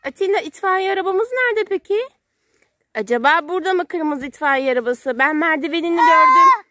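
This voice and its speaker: A woman's voice: very high-pitched, sing-song, a little fast, pushed and bright. A woman playfully narrating toy play for a small child outdoors, in simple, repeated, sing-song phrases and questions. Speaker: parent playing with a young child on a kids' channel. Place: outdoors at a sand and gravel pile.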